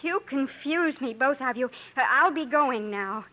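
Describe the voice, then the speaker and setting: Casting: feisty frontier lady, high voice, haughty and formal, vintage radio drama, muffled recording. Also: expressive, unhurried. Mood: flustered. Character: proud, suspicious, feisty young gentlewoman of frontier days. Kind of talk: 1950s radio acting. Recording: dull 1950s radio recording.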